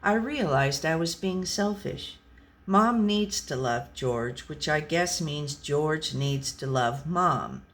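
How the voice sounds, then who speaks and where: Slow, deadpan storybook pet read by a woman. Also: low-pitched, full character voice. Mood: resigned. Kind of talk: scripted storybook narration.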